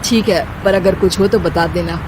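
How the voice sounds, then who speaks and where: A man's voice: high voice, fairly even intonation, normal speed, background noise, natural voice. A man voicing a kind, caring young husband in a cartoon story. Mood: gentle.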